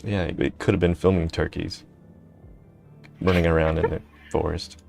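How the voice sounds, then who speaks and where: A man's voice: sits low, flat delivery, vocal fry, darkish tone, soft background music. A man co-hosting a casual live stream, laughing often and reading chat and articles aloud. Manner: laid-back, laughing often, reads aloud haltingly. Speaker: streamer co-host.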